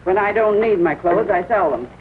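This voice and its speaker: A woman's voice: medium pitch, normal speed, phone-quality sound, natural voice. A woman playing a stern, stingy, middle-aged spinster who is curt and imperious, in a 1940 comedy film. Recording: thin, noisy 1940 film soundtrack.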